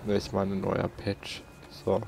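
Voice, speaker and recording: A man's voice: sits low, completely flat delivery, unhurried, creaky, music in the background, rough recording. A man doing casual let's play commentary and reading in-game notes aloud, close to the microphone.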